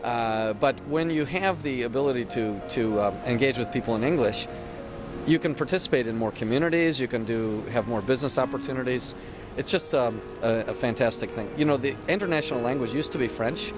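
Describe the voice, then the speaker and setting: A man with a mid-range voice explains things with enthusiasm and laughs easily. Clear music plays behind the conversation. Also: mid-range voice, brisk. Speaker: conversation partner. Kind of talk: casual conversation.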